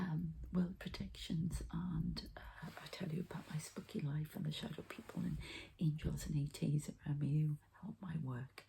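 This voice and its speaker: A woman softly whispering in an unhurried, halting murmur, presenting an ASMR energy healing as the healer. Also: low voice, poor audio. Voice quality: soft, breathy whisper with little voicing. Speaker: energy healer presenting her own channel. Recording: faint background noise.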